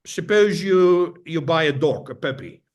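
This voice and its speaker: A man's voice: on the high side, a little slow. A middle-aged man from the Netherlands with a Dutch accent, speaking as an interview guest in a thoughtful, repetitive way with frequent restarts. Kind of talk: casual interview talk.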